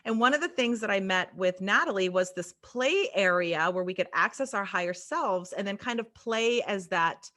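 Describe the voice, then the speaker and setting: A woman hosting a podcast, chatting casually and gently about spirituality, laughing often. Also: medium pitch, expressive, normal speed, few pauses. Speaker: podcast host.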